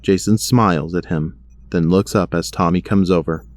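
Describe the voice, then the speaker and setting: A man's voice: low voice, steady tone, normal speed, no room sound, natural voice. A man narrating a superhero audio drama in a scripted storytelling style. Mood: measured. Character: male narrator of a superhero adventure.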